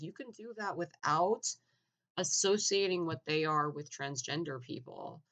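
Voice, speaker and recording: A man giving a blunt, argumentative opinion monologue, full of "like" fillers and restarts, clearing his throat now and then. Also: fairly high pitch, bright, forceful tone, lo-fi sound. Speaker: opinion vlogger.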